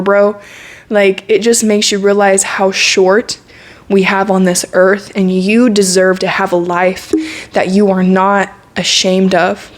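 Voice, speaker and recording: Young woman in her twenties, casual vlog voice, candid and earnest, mid-range pitch, slangy and laughing easily. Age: 20s. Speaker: vlogger. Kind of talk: casual reflective monologue to camera.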